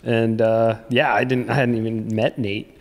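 A man in his late thirties from the US East Coast, a racing guest recounting team history in animated, casual talk. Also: mid-range voice, moderate pace, vocal fry, in a large hall. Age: 30s. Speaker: guest, off-road racer. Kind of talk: casual chat.

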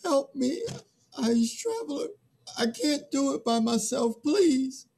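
A man playing a weary, despairing human in a play reading, halting and anguished. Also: extremely high pitch, animated, creaky, warm tone, exaggerated voice. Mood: desperate pleading. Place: video call, virtual background.

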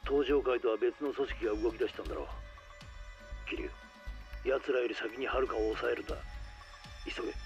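A man voicing a gruff, serious middle-aged detective in a video game, heard through a phone filter. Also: mid-range voice, fairly even intonation, moderate pace, long pauses, darkish tone, soft background music. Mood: urgent, serious. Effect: phone call filter. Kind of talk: video game cutscene voice acting. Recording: dull, muffled phone call.

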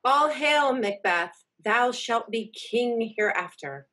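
An eerie witch, played by a woman, declaiming spells in verse on a video call. The voice is bright and forceful with a rasp, and the pace is slow. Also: a little high, expressive, exaggerated voice. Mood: prophesying. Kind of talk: amateur Shakespeare read-through.

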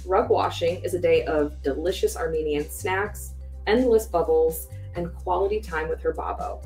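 A woman presents a picture book on a studio panel in a measured, prepared way. Her voice sits on the low side. Faint music plays underneath. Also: a little mellow. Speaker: publishing staff presenter. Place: bookshelf studio set, indoors.

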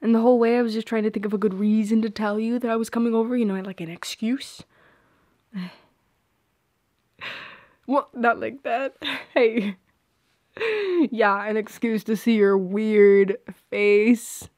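A woman's voice: fairly high pitch, steady tone, a little slow, pauses often, darkish tone, no echo, clean studio sound, close to an everyday voice. A young woman playing a shy, teasing friend with a secret crush in an intimate ASMR roleplay, playful and a little hesitant.

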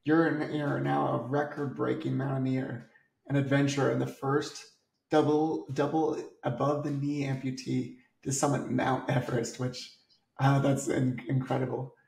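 A man's voice: medium pitch, level tone, normal speed, vocal fry, darkish tone. A man in his thirties hosting an interview over a video call, upbeat and enthusiastic. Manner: upbeat, enthusiastic, with repeated words in questions. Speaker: host. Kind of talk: scripted intro then interview questions. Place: indoor home office. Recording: echoey room on video call.

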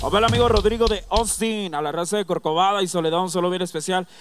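A man onstage at a live concert, calling out greetings to people and towns in a loud, chanted delivery. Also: high voice, fast. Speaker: stage announcer reading shout-outs. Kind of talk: live concert shout-outs. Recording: live band playing behind. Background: live band music.

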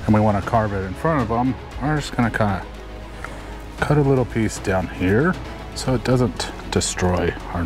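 A man co-hosting a casual outdoor cooking demo, talking in a relaxed, chatty way as he explains each step. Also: mid-range voice. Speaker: cooking show co-host. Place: outdoor campsite. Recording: music playing behind.

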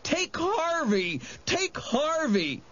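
Man doing a high-pitched, sobbing, terrified boy. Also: big pitch swings, drawn-out words, sharp tone, full character voice. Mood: whining, tearful. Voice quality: high, thin, tearful. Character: terrified fat boy, whining and begging for mercy. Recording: dull audio with faint noise.

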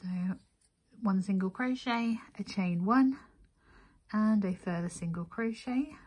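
A woman's voice: medium pitch, slow, a little mellow, husky. A woman presenting a craft tutorial, giving methodical step-by-step instruction and counting as she goes.

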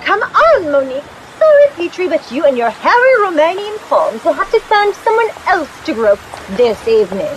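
A woman's voice: very high-pitched, big pitch swings, moderate pace, hardly any pauses, rough recording. A woman playing a brash, sardonic, impatient young society woman in an audio drama. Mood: annoyed, firm. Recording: clear background noise.

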